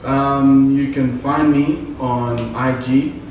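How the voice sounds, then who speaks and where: A man's voice: medium pitch, slow pace, far from the mic. Congo-born guy, lingala first language, casual interview talk, echoey noisy room. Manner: relaxed, many fillers. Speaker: actor and model, guest.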